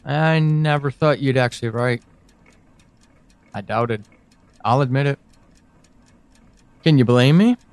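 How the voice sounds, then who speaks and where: A man playing an anxious, pleading character in an audio drama monologue, speaking earnestly and haltingly. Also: medium pitch, a little mellow, clean tone, dry recording, lightly acted. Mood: hurt, hesitant. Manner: halting, earnest, with pauses and emphatic swings. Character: anxious, pleading man reaching out to a lost loved one.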